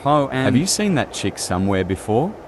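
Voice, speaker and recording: A brash devil hunter captain, voiced by a man in a manga dub. He is mid-pitched, flirty and casually commanding. Clear urban noise runs behind him. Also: sing-song, fairly quick, lightly acted. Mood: cocky, commanding. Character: cocky, flirty adult male devil hunter squad leader. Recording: noisy track with street ambience.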